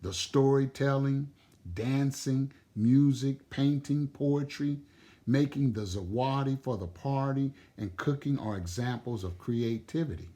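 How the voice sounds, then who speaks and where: Slow, deliberate male storyteller. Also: medium pitch. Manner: deliberate, expressive. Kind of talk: storybook narration and explanation.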